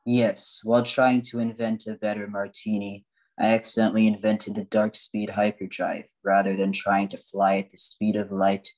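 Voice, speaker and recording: A man playing a matter-of-fact robot bartender and inventor in a radio spoof, delivered deadpan. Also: fairly low pitch, moderate pace, close to an everyday voice, low-quality recording. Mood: earnest, deadpan.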